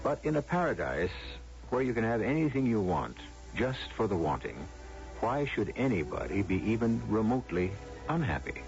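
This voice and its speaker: A man's voice: lowish voice, very animated, normal speed. A man hosting a radio drama with wry, theatrical scripted narration and rhetorical questions, on a muffled old broadcast. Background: music.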